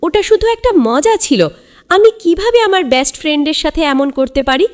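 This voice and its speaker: An earnest little boy from a cartoon, played by a woman, with a very high voice. He speaks eagerly, his pitch rising and falling freely. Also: expressive, normal speed. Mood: desperate pleading.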